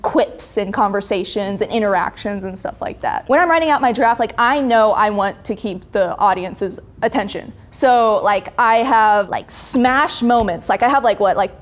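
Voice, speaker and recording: A young adult woman in a casual interview, explaining her creative work in an informal, animated way, acting out little quotes as she goes. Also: mid-range voice, moderate pace, few pauses. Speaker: webcomic creator being interviewed. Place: indoor studio set with brick wall.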